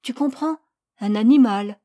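A woman's voice: medium pitch, normal speed, mellow, close to an everyday voice. A woman voicing a kind but stern father who answers his son in a firm, insistent way.

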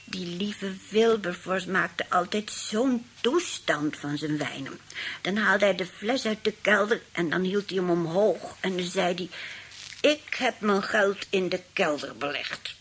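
A woman's voice: lowish voice, unhurried, fairly bright tone, slight background noise. An actress playing an elderly, sweetly demanding aunt who likes her wine, in a radio play. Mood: reminiscing. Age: older.

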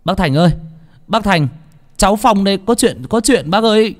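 A man's voice: medium pitch, big pitch swings, moderate pace, mellow, slightly rough. A man voicing a frightened village boy in a horror story, stammering and urgent. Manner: stammering, urgent, breathless outbursts.

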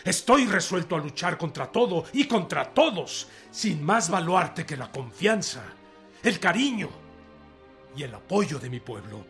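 Resolute Mexican rebel leader, radio play, declamatory, raised pitch, music underneath. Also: male voice, big pitch swings, measured pace, fairly bright tone. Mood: indignant, defiant. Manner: declamatory, emphatic. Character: defiant, resolute Mexican revolutionary leader dictating a letter. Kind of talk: dramatized radio oratory. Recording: music behind the voice.

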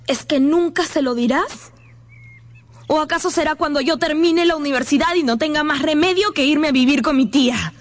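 An actress playing a proud, reproachful young student sweetheart in a radio drama. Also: female voice, high-pitched, moderate pace, lightly acted. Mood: hurt, reproachful.

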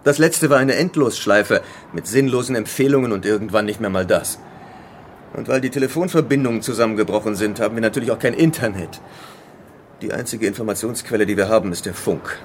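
An actor playing a calm, controlling leader of island survivors in a radio drama, speaking with measured, patronizing authority. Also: male voice, lowish voice, a little hiss or hum, lightly acted. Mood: smooth, patronizing. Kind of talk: German radio drama acting.

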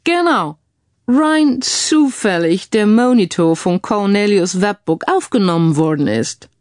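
A woman voicing a suave, wry British gentleman posing as an earl in an audio crime story, sprinkling English phrases into speech. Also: mid-range voice, animated, measured pace, dry recording, clean studio sound, natural voice. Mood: wry, explaining.

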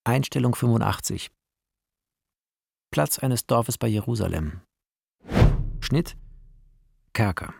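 A man narrating a radio drama, reading film shot directions in a clipped, measured announcing style. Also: lowish voice, vocal fry, darkish tone, breathy edge, no room sound, studio quality, full character voice. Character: measured screenplay reader announcing scenes.

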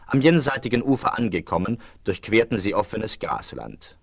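Very deep-voiced male radio play storyteller. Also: big pitch swings, vocal fry, slightly rough, dry recording. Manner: measured, literary delivery. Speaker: narrator.

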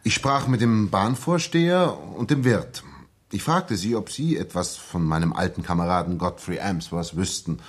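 Earnest, husky-voiced former soldier. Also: male voice, low-pitched, lively intonation, moderate pace, heavily acted. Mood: earnest, puzzled. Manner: earnest, emphatic, well-spoken. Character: earnest, determined male ex-soldier worried for his comrade. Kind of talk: 1960s German radio drama acting.

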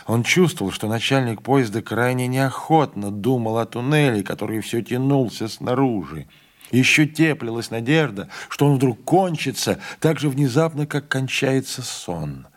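A man reading a classic novella as an audiobook narrator, measured and dramatic. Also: mid-range voice, lively intonation. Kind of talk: literary narration.

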